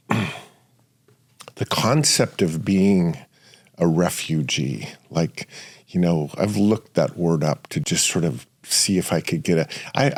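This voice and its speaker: A man hosting a podcast interview, talking in a reflective, halting way with many restarts and fillers. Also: deep voice. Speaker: podcast host. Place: Seattle area studio.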